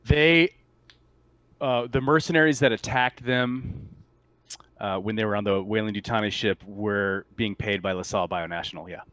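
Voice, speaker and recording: A man running a tabletop roleplaying game as game master, talking in a casual, halting, conversational way. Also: lowish voice, fairly bright tone, no room sound.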